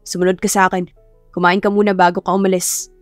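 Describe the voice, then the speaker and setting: A woman's voice: on the low side, flat delivery, fast, dry recording, faint music behind, natural voice. A woman voicing a stern, grumpy and aloof male professor in an audio love story, speaking curtly. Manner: curt, gruff, unbothered.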